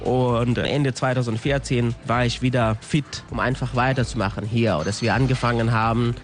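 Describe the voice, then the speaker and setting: Young man in his twenties with an Afghan accent, earnest and halting, radio interview clip, faint music underneath. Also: medium pitch. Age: 20s. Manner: hesitant, many pauses and fillers, earnest.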